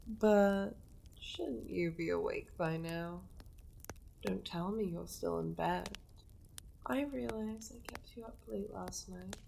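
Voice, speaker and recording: A woman's voice: medium pitch, a little mellow, a little hiss or hum. A woman voicing a seductive, possessive demon of war in an intimate ASMR roleplay, teasing and domineering, often slipping toward a whisper. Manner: slow, breathy, teasing, often dropping into whispers.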